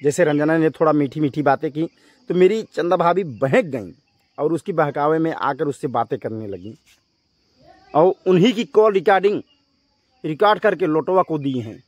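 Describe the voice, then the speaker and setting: A male vlogger with a fairly high voice delivers a confrontational rant straight to his viewers. He scolds with emphasis and repeats his phrases. The sound is dry, with very little room on it. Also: normal speed, no room sound, highs cut off. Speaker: YouTube vlogger addressing viewers.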